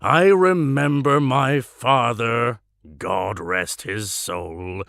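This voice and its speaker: Man playing pompous deluded male letter-writer, comic monologue, slow deliberate delivery, bright forceful tone, theatrical pauses, grandiose. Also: medium pitch, creaky, dry recording, clean studio sound, full character voice. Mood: grandiose, wistful. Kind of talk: comedic monologue read as a letter.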